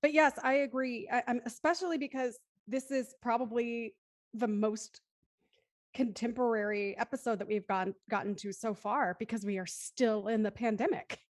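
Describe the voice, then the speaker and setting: A woman's voice: a little high, steady tone, normal speed, no echo, studio quality. A woman moderating a casual panel discussion, speaking reflectively and circling back over her phrases.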